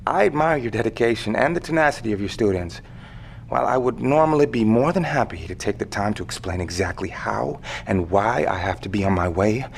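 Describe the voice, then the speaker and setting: Composed, gravelly, secretive man. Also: mid-range voice, slight background noise. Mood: resolute, impatient. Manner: measured, formal, wry, condescending. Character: secretive, composed male insider warning survivors of monsters. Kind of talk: audio drama acting.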